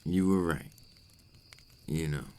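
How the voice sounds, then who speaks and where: A man playing a brooding, remorseful male vampire in an intimate ASMR roleplay, speaking in a deep, gravelly voice with slow, formal phrasing. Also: darkish tone, slight background noise. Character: remorseful adult male vampire, brooding and tormented by his curse.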